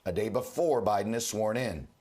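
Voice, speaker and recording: A man anchoring a news broadcast and interviewing a guest, speaking with a rough, hoarse voice while under the weather. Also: lowish voice, darkish tone. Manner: measured, formal newsreader delivery.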